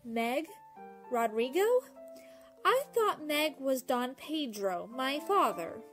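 A woman's voice: high-pitched, sing-song, takes it slowly, a bit bright, faint music behind, heavily acted. A woman acting a vain, petulant young girl in an amateur radio drama, haughty and whiny.

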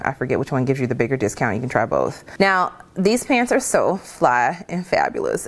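A woman in her thirties vlogging casually about an outfit from her kitchen at home, chatty and animated, calling her viewers y'all. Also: sits low. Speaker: fashion vlogger. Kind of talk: casual fashion vlog talk.

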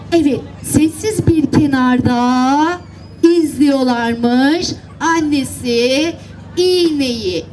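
A woman's voice: high-pitched, darkish tone, low-quality recording. A woman storyteller narrating a tale to children and coaxing them to act it out with simple, repeated instructions. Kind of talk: animated storytelling and directing children in acting out a tale. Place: outdoor seaside children's event. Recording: live event, faint crowd and noise. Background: faint crowd and ambient noise.

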